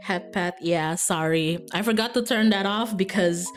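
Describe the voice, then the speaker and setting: A woman's voice: on the low side, moderate pace. A woman chatting casually as a livestreamer, bubbly and rambling in manner. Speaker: streamer and VTuber. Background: background music.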